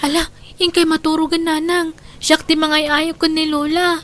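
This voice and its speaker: A woman playing an earnest, dutiful young schoolgirl daughter in a radio drama, gently pleading. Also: high-pitched, little pitch movement, moderate pace.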